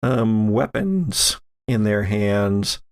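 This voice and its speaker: Male game master, gravelly creak in the voice, halting measured delivery of tabletop narration and rules. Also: fairly low pitch, animated.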